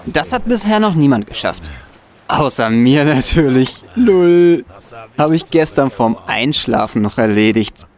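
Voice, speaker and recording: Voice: mid-range voice, very animated, a little slow, a little mellow. An audio-drama performer playing a boastful, geeky student hacker, an eager and cocky explainer. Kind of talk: German audio drama acting.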